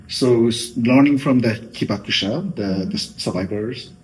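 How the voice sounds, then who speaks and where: Soft-voiced middle-aged Japanese man. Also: fairly low pitch, a little hiss or hum, low-quality recording. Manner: thoughtful, with many fillers like "you know". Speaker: guest. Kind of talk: interview answers.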